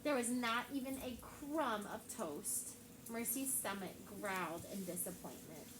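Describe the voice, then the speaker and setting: Woman teacher, storybook read-aloud, lively voices, slightly high, echoey classroom, background noise. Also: measured pace. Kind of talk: reading a picture book aloud to a class.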